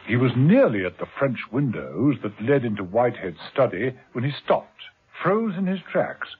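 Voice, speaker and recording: A man's voice: medium pitch, very animated, moderate pace, husky, phone-quality sound. A man narrating a 1970s radio drama serial in a dramatic, wry storytelling manner. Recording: thin old radio recording with music.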